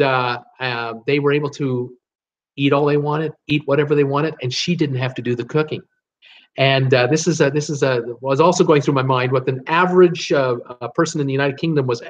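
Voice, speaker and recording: A man, telling stories casually on a livestream in a rambling, hesitant way, repeating words as he thinks aloud. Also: fairly high pitch. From Canada. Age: 62, early sixties. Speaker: host of a cruise travel livestream. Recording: dull, lo-fi livestream audio.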